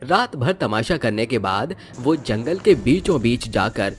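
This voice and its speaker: A man narrating a scripted fantasy story in an expressive storytelling manner. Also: medium pitch, unhurried, slight background noise. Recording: music under the voice. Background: music.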